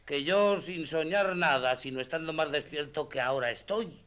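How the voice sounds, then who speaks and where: A man acts a chatty, down-to-earth squire for radio theatre. His voice sits somewhat high for a man. He explains things with folksy self-importance and boasts on his master's behalf. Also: normal speed, fairly bright tone, close to an everyday voice. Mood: proud, explaining.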